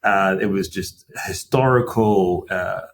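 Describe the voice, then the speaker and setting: A middle-aged man, a company finance chief, answering interview questions in a measured, explanatory way with frequent fillers. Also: low-pitched. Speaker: company CFO as podcast guest. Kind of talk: interview conversation.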